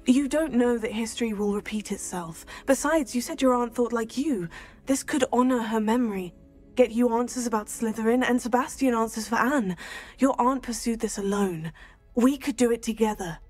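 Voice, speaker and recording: A woman plays a calm, determined schoolgirl witch in a fantasy video game. Her voice is fairly high with a husky edge, polite and measured as she persuades, over music. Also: natural voice. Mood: persuasive. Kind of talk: dramatic video game voice acting.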